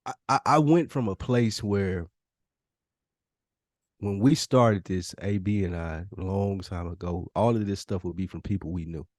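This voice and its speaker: A man's voice: low-pitched, measured pace, a little mellow, no room sound, studio quality. An American man chatting casually as a podcast co-host, emphatic and given to repeating his phrases.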